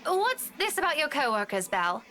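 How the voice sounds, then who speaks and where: An actress playing a brisk young woman who breaks up an argument in a horror video game. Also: sits high, very animated, pushed and bright, a little hiss or hum, full character voice. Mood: scolding. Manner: brisk, scolding.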